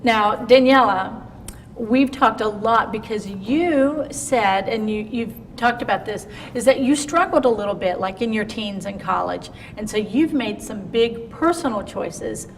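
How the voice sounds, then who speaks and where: A woman host leads the interview, rambling and restarting her questions. She sounds distant from the mic. Her voice echoes in a large hall over faint noise. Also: medium pitch. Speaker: show host.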